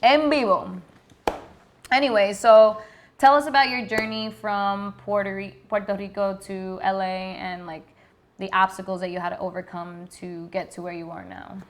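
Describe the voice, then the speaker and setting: A woman's voice: mid-range voice, level tone, normal speed, slight background noise. A woman hosting a podcast, chatting in an upbeat, easygoing way and laughing easily. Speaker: podcast host. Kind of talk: casual chat.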